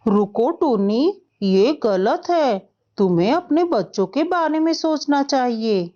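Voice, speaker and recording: A woman voicing a kindly male magical apple tree in a cartoon, with a measured, reassuring manner. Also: high voice, animated, mellow, highs cut off. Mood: solemn, urging.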